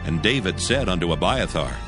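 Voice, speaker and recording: A man narrating scripture from a script in a solemn, measured way. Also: low voice, animated, music in the background.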